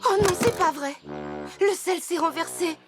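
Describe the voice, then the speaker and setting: Really high cartoon girl, superstitious drama queen, excitable n ominous, loud music behind her. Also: normal speed. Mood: alarmed, ominous. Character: superstitious, dramatic young female cartoon friend. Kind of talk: children's cartoon dubbing.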